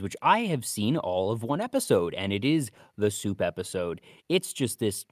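A man co-hosting a podcast in a casual, informal chat, speaking in an animated way. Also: lowish voice, dry recording, studio quality. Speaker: podcast co-host.

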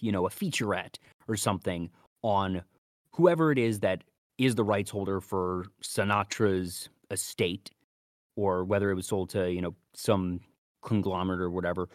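A man's voice: on the low side, moderate pace, no echo, clean studio sound. A man co-hosting a podcast, talking casually about fandom in long, detailed explanatory asides. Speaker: podcast co-host.

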